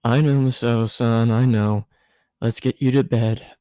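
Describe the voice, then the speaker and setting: A shy, devoted teenage boy voiced by a man from a story reading. He speaks softly and hesitantly, slow and mellow. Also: medium pitch, fairly even intonation, clean tone, no room sound, natural voice. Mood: patient. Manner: soft-spoken, hesitant.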